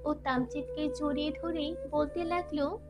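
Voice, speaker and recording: A woman's voice: high voice, steady tone, measured pace. A woman narrating a scripted dramatic story. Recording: music behind voice.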